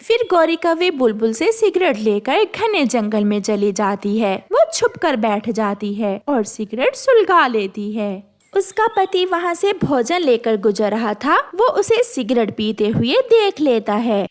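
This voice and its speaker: Female storybook narrator for kids' cartoon: high, animated, unhurried scripted read.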